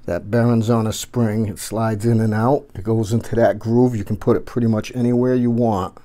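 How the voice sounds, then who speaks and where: A man's voice: mid-range voice, mellow. A middle-aged man hosting a tech vlog, talking casually and loosely through a home-built setup in his gaming room while filming with a handheld phone. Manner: casual, rambling, slangy. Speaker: tech and gaming channel host. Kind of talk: casual build walkthrough vlog. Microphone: handheld phone camera.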